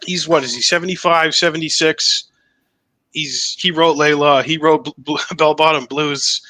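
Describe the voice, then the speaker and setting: A man's voice: a little high, fairly even intonation, normal speed, bright, forceful tone, poor audio. A man co-hosting a casual podcast, talking loosely and sprinkling "you know" through his sentences. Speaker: podcast co-host. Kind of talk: casual chat reading super chats.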